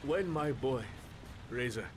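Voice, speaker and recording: A man's voice: medium pitch, expressive, slow. An actor playing a dignified, stubborn, grieving male shopkeeper in a video game, speaking in formal, courteous, stilted phrases. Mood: grateful, sorrowful. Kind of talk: dramatic video game acting. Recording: clear background noise and ambience.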